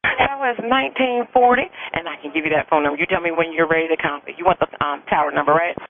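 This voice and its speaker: A woman's voice: low voice, fast. An American woman working as an air traffic controller, giving courteous, chatty instructions over aviation radio. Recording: crackly narrow-band air traffic radio.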